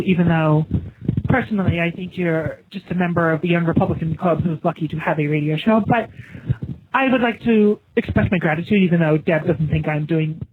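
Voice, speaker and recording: A man leaving a sarcastic, halting voicemail for a radio show. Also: sits high, background noise. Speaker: voicemail caller, radio host. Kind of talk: voicemail message. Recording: tinny phone voicemail.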